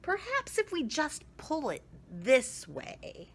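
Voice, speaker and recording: A woman's voice: sits high, huge pitch swings, slow, voice pushed up, heavily acted. A woman voicing a matter-of-fact storybook father who sizes up trouble in an emphatic, declarative way. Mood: helpful.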